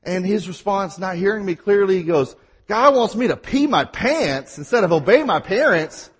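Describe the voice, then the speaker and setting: Man, Mississippi accent, pastor's sermon, husky, fairly high voice. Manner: fast-talking at times, animated storytelling.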